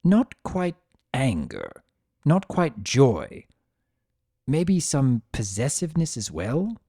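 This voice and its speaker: A man voicing a grumpy, possessive, secretly tender chaos creature who narrates his own story in a sardonic, expressive dramatic reading. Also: medium pitch, takes it slowly, dry recording, studio quality, exaggerated voice. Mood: wry, reflective. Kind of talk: first-person dramatic reading of fan fiction.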